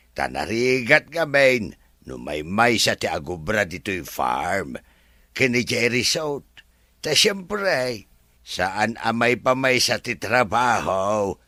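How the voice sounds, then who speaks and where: An eager, humble resort farmhand for a radio drama. His bright voice swings widely at an unhurried pace, full of drawn-out folksy exclamations, in a dry studio. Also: on the low side, dry recording, heavily acted. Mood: earnest. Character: deferential, excitable male farm and resort worker.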